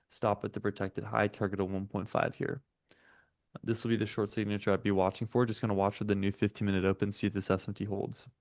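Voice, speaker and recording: A man's voice: on the low side, darkish tone, dry recording, studio quality. A man raised in the States, French his first tongue, hosting a live trading stream and calling out price levels and setups methodically while chatting with viewers. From United States. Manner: chats with viewers between chart reads. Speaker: day-trading stream host. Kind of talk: live market commentary.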